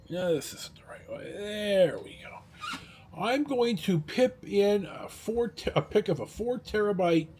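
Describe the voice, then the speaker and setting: A man talking casually in a rambling, halting vlog style, blunt and a little salty, with a husky, rough-edged voice. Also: fairly high pitch, a little mellow. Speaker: vlogger.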